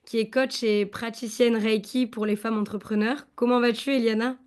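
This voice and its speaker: A woman's voice: a little high, fairly even intonation, normal speed, a little mellow. A woman hosting her podcast, asking questions in a friendly way with some hesitant fillers. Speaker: podcast host. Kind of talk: casual interview.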